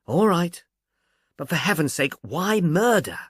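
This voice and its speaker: A sceptical, exasperated policeman , played by a man reading a novel speaks with grudging precision. The intonation is highly animated and the recording is close and dry. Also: a little slow, slightly rough, no echo, heavily acted.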